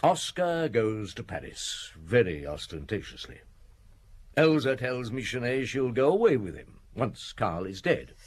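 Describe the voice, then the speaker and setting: An actor playing a shrewd, relentless chief inspector in a radio detective drama, speaking deliberately with probing questions and dramatic pauses. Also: male voice, mid-range voice, big pitch swings. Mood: confident, probing. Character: shrewd, relentless male French police chief inspector summing up.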